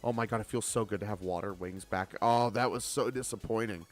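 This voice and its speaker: A man's voice: lowish voice, fairly quick, faint music behind. A man of twenty-seven chatting casually on a game livestream, bantering playfully and swearing freely. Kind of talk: casual livestream chat while gaming.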